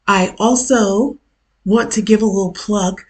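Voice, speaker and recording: Hoarse woman chatting slowly on a stream. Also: medium pitch, steady tone, a little mellow. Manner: chatty, self-deprecating asides. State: hoarse, lost voice from illness. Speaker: live-stream host. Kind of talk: casual live stream chat. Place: at work on a lunch break. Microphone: camera mic.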